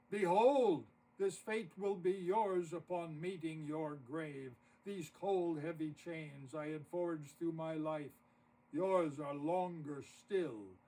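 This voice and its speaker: A man's voice: on the high side, warm tone. A man voicing the grim ghost of a dead miser in a storybook poem, declaiming solemn, heavy warnings. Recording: distant mic with audible noise.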